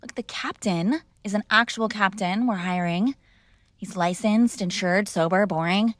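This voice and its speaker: A woman's voice: mid-range voice, unhurried, no room sound, close to an everyday voice. A woman playing a mob boss's spoiled, bratty young adult daughter in an intimate roleplay, speaking casually with sarcastic, exasperated argument. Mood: defensive, bratty. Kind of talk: intimate ASMR roleplay acting, one-sided conversation.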